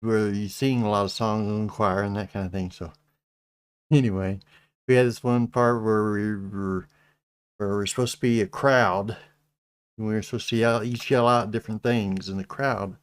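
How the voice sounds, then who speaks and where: A middle-aged man with a Texas accent, hosting a casual livestream chat, speaking slowly with many halting restarts. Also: lowish voice, fairly even intonation, smooth, no room sound. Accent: Texan. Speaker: channel host.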